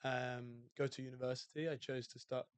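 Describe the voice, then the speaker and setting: A man with a British accent talks through his story in a relaxed, reflective way, often pausing on fillers and restarting. His pitch stays flat and even, and his voice is smooth and clear in a dry room. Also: dry recording. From UK, outside London. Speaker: guest, hotel owner. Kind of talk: casual podcast interview.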